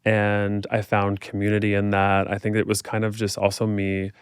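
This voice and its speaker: A man's voice: fairly low pitch, completely flat delivery, moderate pace, smooth, no echo, clean studio sound. An American man chatting as a podcast guest, telling personal stories in an informal, candid, reflective way. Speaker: podcast guest, fitness instructor. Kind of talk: casual chat, personal storytelling.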